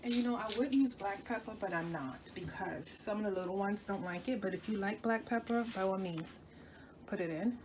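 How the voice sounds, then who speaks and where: A woman's voice: mid-range voice, brisk. A woman with a slightly stuffy nose, chatting her way through a home cooking demonstration and stopping now and then to correct herself. Dishes and utensils clink around her in a noisy kitchen recording. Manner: chatty, talks to viewers while working, self-correcting. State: stuffy from a cold. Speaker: cooking channel host.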